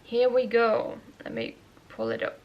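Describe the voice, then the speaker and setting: A woman from Austria speaks English with a Yorkshire-tinged accent picked up from a partner. Her voice sits a touch high and she talks slowly. She pauses often and fills the gaps with "uh" and little laughs. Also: big pitch swings, lo-fi sound. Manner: slow, thoughtful, with fillers and soft laughs. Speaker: knitting vlogger. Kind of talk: casual Q&A vlog.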